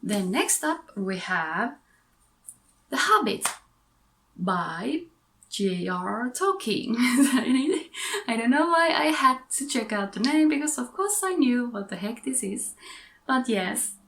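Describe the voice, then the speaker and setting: A woman's voice: a little high, sing-song, slow pace, fairly bright tone, slight background noise. A woman with a Finnish accent chatting casually about her books, correcting her own words as she goes. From Finland. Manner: chatty, self-correcting, giggly asides. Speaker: book vlogger. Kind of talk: casual book haul chat.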